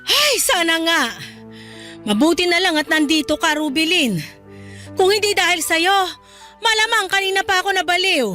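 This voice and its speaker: A woman's voice: very high voice, moderate pace, pauses often, pushed and bright, faint music behind. A woman playing a worried, exasperated middle-aged mother in a Filipino radio drama, emotive and pleading. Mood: weary, stressed.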